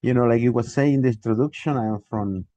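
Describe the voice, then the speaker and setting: A man's voice: medium pitch, fast, warm tone, dry recording, highs cut off. Costa Rican man lecturing over a video call. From Costa Rica. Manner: hesitant, many restarts and fillers. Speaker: guest lecturer. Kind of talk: online academic lecture. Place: home, remote video call.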